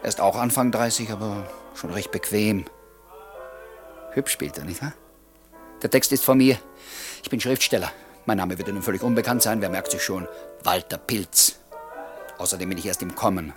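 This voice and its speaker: A low-voiced young man played by an actor narrates a radio play as a chatty, genial writer. He addresses listeners directly, with courteous, theatrical confidentiality. Music plays faintly behind him. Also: moderate pace, pauses often, close to an everyday voice. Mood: confiding, playful. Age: 20s. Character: chatty, genial 29-year-old writer showing visitors around.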